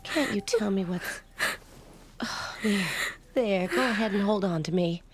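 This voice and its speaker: An actress playing a middle-aged, grieving and protective foster mother, gentle and emotional, in a radio drama. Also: mid-range voice, unhurried, close to an everyday voice. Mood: tender, grieving. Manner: gentle, emotional, breaks into hushed tones.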